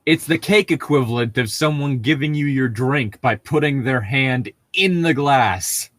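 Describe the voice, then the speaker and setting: A man's voice: medium pitch, measured pace, fairly bright tone, no room sound. A young man in casual banter with friends, teasing, swearing and picking at details while co-hosting a comic read-aloud. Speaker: co-host reading fan fiction aloud.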